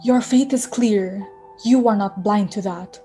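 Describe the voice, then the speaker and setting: A woman's voice: mid-range voice, a little slow, mellow, soft background music, close to an everyday voice. A woman playing a grim chorus member in a dramatic reading of Greek tragedy.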